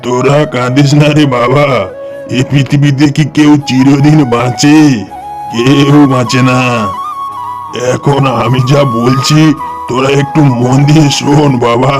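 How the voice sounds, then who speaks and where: A man acts out an elderly cartoon father on his deathbed, tender and weary. He speaks very slowly in an even, narrow pitch. Music plays clearly behind his gentle pleading. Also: lightly acted. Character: dying old farmer father, gentle and weary.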